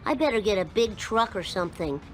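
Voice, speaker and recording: A woman voicing a mischievous ten-year-old boy in a cartoon game, raspy, brash and wisecracking. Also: mid-range voice, lively intonation, brisk, soft background music, lightly acted. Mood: cocky, brash.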